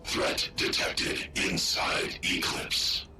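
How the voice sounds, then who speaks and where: An actor playing an ancient, malevolent artificial intelligence in a video game, giving cold, clipped commands in a processed synthetic machine voice. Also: male voice. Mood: cold, commanding. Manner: slow, monotone, clipped commands. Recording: loud music behind.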